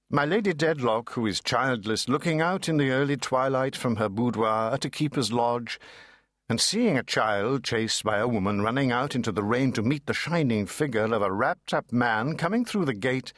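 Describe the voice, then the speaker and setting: A man's voice: medium pitch, fairly bright tone, no echo, studio quality. A man reading classic literature dramatically, theatrical and precise. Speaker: audiobook narrator. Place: dry studio.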